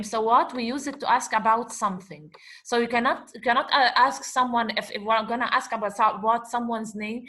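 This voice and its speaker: A woman teaching an Arabic lesson over slides, explaining slowly and asking questions she then answers herself. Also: on the high side, a bit bright. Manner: switches between English and Arabic words. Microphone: computer mic, voice-over on slides.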